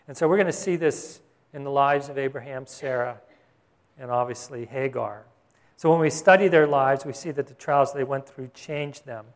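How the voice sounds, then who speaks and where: A man delivering a Bible-teaching sermon in a relaxed, conversational manner. His voice sits a little higher than most men's. He keeps checking in with his listeners through short questions. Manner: conversational preaching, asks the congregation "Got it?". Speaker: pastor.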